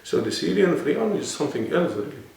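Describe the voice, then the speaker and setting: A man's voice: fairly high pitch, big pitch swings, fast talker, vocal fry, a little mellow. A man with an Arabic accent, speaking non-native English and explaining earnestly as a guest in a serious interview. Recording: echoey room, mic at a distance.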